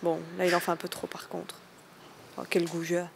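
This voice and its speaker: A woman's voice: on the low side, completely flat delivery, normal speed, creaky, noisy background. A young woman playing a sarcastic, sulky date. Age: young adult. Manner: sarcastic, muttering asides.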